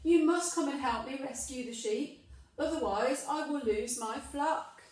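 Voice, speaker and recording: A woman's voice: high-pitched, measured pace, pitched above the performer's own voice. Woman voicing a panicky shepherd boy, raised and echoey. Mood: panicked, urgent. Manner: urgent shouting. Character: panicky lying shepherd boy crying wolf. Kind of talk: children's storybook read-aloud. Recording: echoey room, distant microphone.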